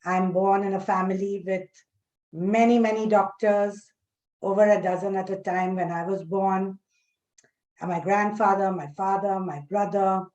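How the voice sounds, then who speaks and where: A woman introducing herself as a panelist, speaking in measured short phrases with pauses. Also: medium pitch, steady tone, a little mellow, highs cut off. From Karachi, Pakistan. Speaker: panelist, recipe curator. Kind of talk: personal introduction at a panel talk. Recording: echoey room sound.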